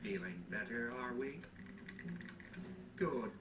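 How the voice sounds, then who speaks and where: Menacing factory robot, fake polite and officious, echoey and off in the distance. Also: male voice, mid-range voice, expressive, slow pace, very noisy. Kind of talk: cartoon game acting. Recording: roomy, distant game audio.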